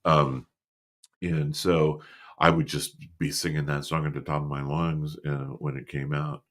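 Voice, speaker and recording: Deep-voiced middle-aged man, easygoing. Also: level tone, measured pace, a bit bright, slight background noise. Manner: easygoing, rambling with fillers and restarts. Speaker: co-host. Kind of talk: casual podcast chat.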